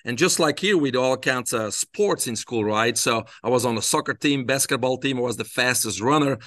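A man from Belgium, a guest on a podcast, tells his life story in a relaxed way with frequent hesitations. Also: mid-range voice, brisk, no room sound, studio quality. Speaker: interview guest. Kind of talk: casual storytelling in an interview.

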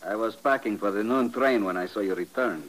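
A coolly menacing gunman with a lowish voice played by a male actor. He stays controlled and quietly threatening, in the manner of a vintage 1950s radio villain. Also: a little fast, close to an everyday voice, rough recording. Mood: controlled, commanding. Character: cool, menacing male killer holding a gun. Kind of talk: 1950s radio drama acting.

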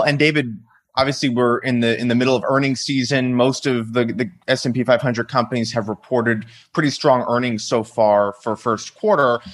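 A man's voice: mid-range voice, fairly bright tone. A man hosting a conference call, putting conversational interview questions in a businesslike way. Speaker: host.

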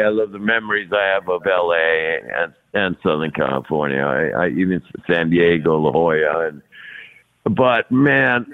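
Rambling man in his sixties, tinny line. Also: low voice. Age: 60s. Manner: rambling, repeats words, laughs often. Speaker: podcast guest. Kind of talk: casual interview conversation.

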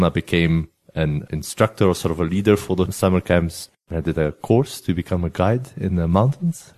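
A man from Belgium, a yoga teacher talking about his life as an interview guest in a measured, thoughtful way. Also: low voice, dark tone. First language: Flemish. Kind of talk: relaxed interview storytelling.

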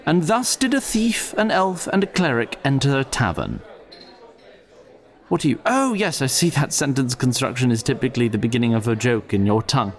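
A man acts as a theatrical narrator of a fantasy tale. His medium-pitched voice is very animated, with formal wording and a wry, dramatic manner. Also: normal speed, lightly acted.

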